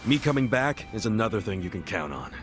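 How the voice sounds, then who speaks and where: A man's voice: fairly low pitch, big pitch swings, a little fast. An actor playing an earnest, good-natured young male hero in a video game dub. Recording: game cutscene with music behind.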